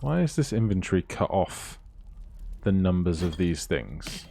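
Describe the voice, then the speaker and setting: A man's voice: low-pitched, big pitch swings, measured pace, vocal fry, dark tone, slight background noise. A man giving casual let's play commentary, muttering through items with dry humour.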